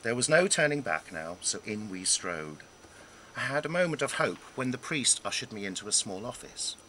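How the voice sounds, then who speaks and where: A man reading his own humorous memoir aloud in a wry, self-deprecating way, with dramatic pauses and emphasis. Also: on the low side, big pitch swings, bright, forceful tone, slight background noise. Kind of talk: scripted narration of a humorous memoir.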